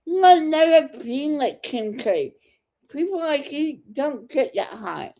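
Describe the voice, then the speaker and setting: A woman's voice: high voice, big pitch swings, slow pace, warm tone. A woman chatting in a casual, confrontational way, taunting and crude.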